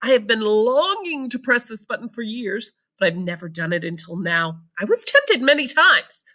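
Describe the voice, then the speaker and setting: A woman's voice: on the high side, big pitch swings, normal speed, sharp tone, pitched above the performer's own voice, full character voice. A woman voicing an eccentric, cheerful male candy maker with bubbly, theatrical exclamations in a read-aloud storybook. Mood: thrilled.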